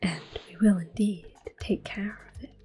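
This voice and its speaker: A woman giving gentle, unhurried ASMR game commentary in a soft, breathy, reassuring voice. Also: mid-range voice, fairly even intonation, slight background noise. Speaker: ASMR gameplay host.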